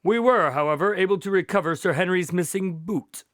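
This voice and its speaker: A man playing a measured, courteous doctor in a radio drama, speaking in formal, precise phrases. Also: high-pitched, fairly bright tone, no echo, clean studio sound. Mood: composed recounting. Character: Doctor Watson, measured, courteous male doctor recounting an investigation. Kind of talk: radio drama dialogue and storytelling.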